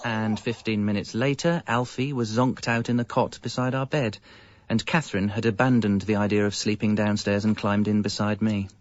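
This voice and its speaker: Actor as a weary British dad, muffled noisy recording. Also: male voice, on the low side, steady tone, normal speed, natural voice. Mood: weary, wry. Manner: wry, self-pitying storytelling. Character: weary, frustrated, put-upon father of young children. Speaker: narrator and lead actor. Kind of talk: radio comedy monologue and dialogue.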